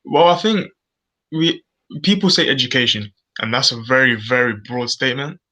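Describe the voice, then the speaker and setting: A man's voice: mid-range voice, expressive, moderate pace, bright, forceful tone. A British man giving personal views in a casual discussion, tentative and often repeating his words as he works out what to say. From Britain. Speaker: guest on a live online discussion.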